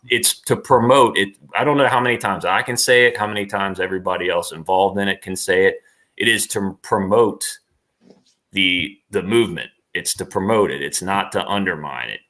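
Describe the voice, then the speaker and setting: A man's voice: low voice, lively intonation, normal speed, pushed and bright. A middle-aged man chatting casually as an interview guest on a livestream, emphatic and repeating points for stress. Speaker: interview guest, actor and former professional wrestler.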